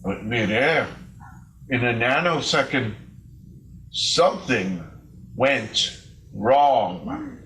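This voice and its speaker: A man reading a story in a measured, theatrical, suspenseful way, as a readers theater performer. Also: mid-range voice, sing-song, a bit bright. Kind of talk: dramatic storytelling to an audience. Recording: distant mic, stage show video.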